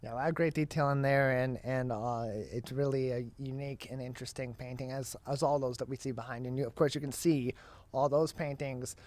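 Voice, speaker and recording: A man's voice: medium pitch, steady tone, a little fast, a little mellow. A young American man hosting an interview and promoting events, speaking into a close studio microphone. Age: young adult. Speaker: talk show host. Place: indoor studio. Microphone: broadcast microphone on a boom arm, close.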